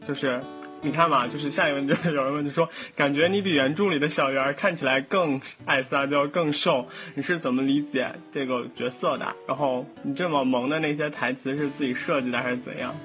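A man's voice: mid-range voice, fairly even intonation, a little fast, a bit bright. A man hosting a casual online cast chat, putting questions to the others. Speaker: host asking questions. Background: guitar music.

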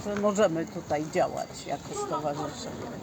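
A woman giving a spontaneous, halting and plain-spoken interview answer. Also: sits low, lively intonation. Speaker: hospital volunteer. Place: outdoor volunteering fair in a park. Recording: loud outdoor fair crowd noise.

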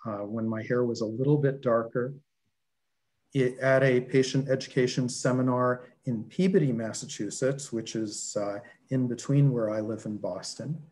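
Older man giving a relaxed video-call talk. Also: mid-range voice, moderate pace. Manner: relaxed, conversational, with fillers. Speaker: dental school lecturer presenting. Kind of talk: conference presentation over video call. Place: home office. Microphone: video call webcam.

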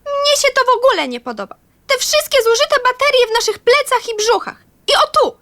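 A woman voicing an eccentric, inquisitive and grumbly toy teddy bear in a children's audio play, squeaky and exclamatory. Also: very animated, brisk, fairly bright tone, full character voice. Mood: worried. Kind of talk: children's audio drama acting.

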